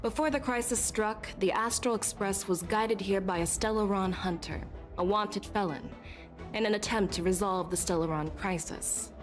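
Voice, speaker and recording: A woman's voice: medium pitch, normal speed, close to an everyday voice. A woman playing a poised, courteous but probing military general in a video game. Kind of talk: scripted video game dialogue. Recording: game audio with music bed. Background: background music.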